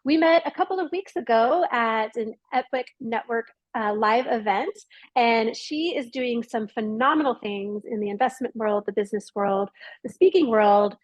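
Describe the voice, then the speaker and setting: A woman hosts an interview over a call with a slightly high, bright-sounding pitch. She is enthusiastic and affirming, echoing her guest's ideas back and building on them. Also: moderate pace. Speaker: podcast host.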